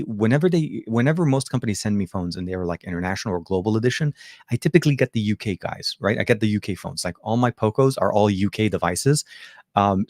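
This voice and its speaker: A middle-aged man, born and raised in Lebanon with Arabic as his first language, hosting a casual livestream from home. His voice sits a bit low, recorded dry and close. He rambles in a friendly way, full of "um"s and restarts. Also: darkish tone, no echo. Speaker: tech show host. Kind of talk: casual livestream chat reading viewer comments.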